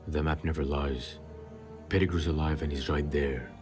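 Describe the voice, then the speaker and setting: A man's voice: a bass voice, steady tone, moderate pace, a little mellow, soft background music. A man playing a vengeful, bitter wizard wronged by a traitor in an audio drama. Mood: grim, accusing.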